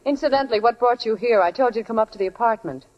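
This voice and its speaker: An actress playing a wry, snappy, worldly big sister in 1940s radio style. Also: female voice, fairly high pitch, very fast, close to an everyday voice. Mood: sardonic. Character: wisecracking, worldly big sister, fond but sarcastic. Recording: muffled 1940s radio recording.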